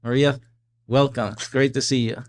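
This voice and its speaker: A man's voice: medium pitch, steady tone, moderate pace, darkish tone. A man hosting a podcast interview, upbeat and friendly, bantering with his guest. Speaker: podcast host.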